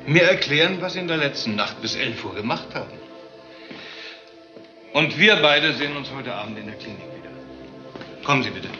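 An actor playing a suspicious, sardonic police detective in a radio crime drama, asking pointed, persistent questions. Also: male voice, medium pitch, expressive, moderate pace, long pauses, lo-fi sound, over music, close to an everyday voice. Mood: insistent, sardonic. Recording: faint background noise.

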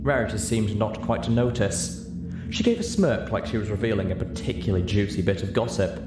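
A man's voice: fairly low pitch, brisk. A man reading a scripted story aloud as an audiobook narrator, in a roomy recording. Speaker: audiobook reader. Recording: roomy echo, music behind. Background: music.

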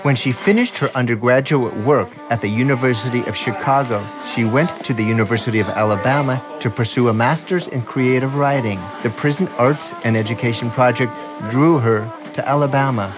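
A man hosts a radio documentary, narrating and putting questions in a mid-range voice. Music plays clearly behind him. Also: medium pitch, brisk, few pauses. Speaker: documentary host and interviewer.

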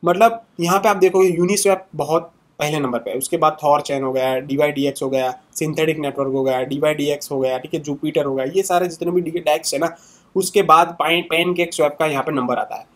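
A young man with a fairly high voice talks loosely through an analysis in an indoor room. He sits at a webcam and keeps tagging his sentences with quick fillers as he walks through charts. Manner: conversational, fillers and tags after many sentences. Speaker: crypto channel host. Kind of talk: casual screen-share market analysis.

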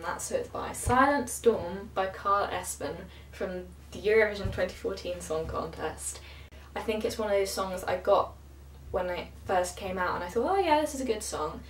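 A woman's voice: mid-range voice, a little hiss or hum. A young woman with a cold and a slightly nasal voice, chatting casually to camera. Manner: chatty, rambling with many fillers. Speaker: cover singer and vlogger. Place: small bedroom at a keyboard. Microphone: camera across the room. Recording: echoey room, voice from a distance.

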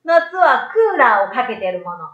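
A woman's voice: fairly high pitch, big pitch swings, normal speed, a bit bright, reverberant, lo-fi sound. A woman voicing a wide-eyed, friendly young boy in a storybook, with childlike casual speech. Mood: friendly, explaining. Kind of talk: storybook read-aloud.

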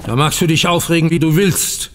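An actor playing a bitter, dignified older bank founder in a German audio drama, speaking formally with biting sarcasm. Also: male voice, on the high side, brisk, in a large hall, a little hiss or hum, natural voice. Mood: scornful.

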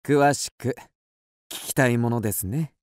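An actor playing an easygoing, bookish detective in a radio drama, with a breezy, teasing, politely casual manner. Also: male voice, medium pitch, measured pace, no echo, clean studio sound, natural voice. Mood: amused. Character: easygoing, bookish male detective, amused and nonchalant. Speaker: detective character.

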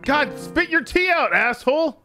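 Young male streamer, bright lively delivery, excitable and profane, faint game music behind. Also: very high-pitched, a little slow. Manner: excitable, swears at game characters. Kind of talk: casual livestream chat over gameplay. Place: home streaming room. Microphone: studio mic through a mixer.

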